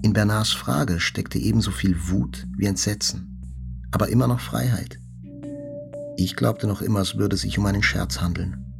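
A gravelly, brooding journalist narrator. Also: male voice, on the low side, music in the background. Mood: hushed dread. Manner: deliberate, introspective. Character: reflective, haunted male journalist recounting an attack he survived. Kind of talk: literary audio drama narration.

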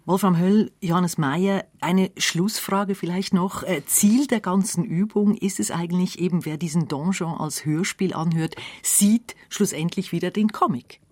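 Husky male radio interview host. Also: sits high, unhurried, hardly any pauses, a little mellow, no room sound. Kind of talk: conversational radio interviewing and presenting. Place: dry radio studio.

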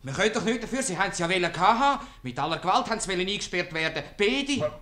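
A man playing an excitable, bumbling fellow with a Swiss German accent who exclaims and repeats himself in a 1950s radio comedy. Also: high-pitched, racing, heavily acted. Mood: defensive.

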